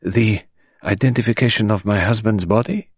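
A man's voice: on the low side, normal speed, slightly rough, no echo, clean studio sound. A man voicing a dignified, grieving middle-aged French widow who speaks in a composed, formal, restrained way.

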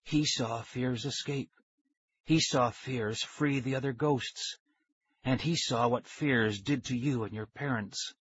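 A man voicing a soft-spoken older male scientist in a hushed, low murmur. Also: slow, breathy edge, no echo, muffled recording. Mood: grave.

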